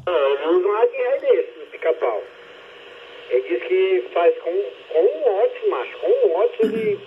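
High-voiced man over narrow-band radio. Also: lively intonation, normal speed, slight background noise. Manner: colloquial, repeats his phrases. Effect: two-way radio. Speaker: amateur radio operator. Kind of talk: casual two-way radio chat.

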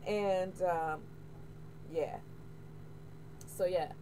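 A middle-aged American woman chatting casually to camera, candid and expressive, repeating phrases for emphasis. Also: lowish voice, drawn-out words. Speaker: hair vlogger. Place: small room at home, indoors. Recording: noisy home webcam audio.

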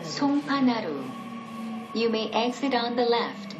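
A woman reading a formal, deliberate scripted subway announcement. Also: on the high side, big pitch swings. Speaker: train announcer. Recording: echoey, loud train noise. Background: moving train noise.

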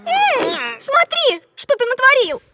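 A woman's voice: very high voice, huge pitch swings, slow, loud music behind the voice, full character voice. A woman voicing a feisty, bickering young animal girl in a children's cartoon, indignant and argumentative. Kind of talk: animated children's series acting.

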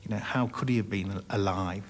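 A man's voice: on the low side, fairly quick. A man in his forties giving a reflective interview as a rescue diver. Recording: clear background noise.

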